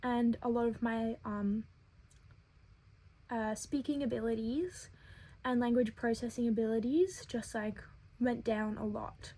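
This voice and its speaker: Young woman, childlike head voice, a little high and breathy, earnest personal vlog. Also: fairly even intonation, mellow. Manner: earnest, thoughtful, with frequent filler pauses. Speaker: web series creator.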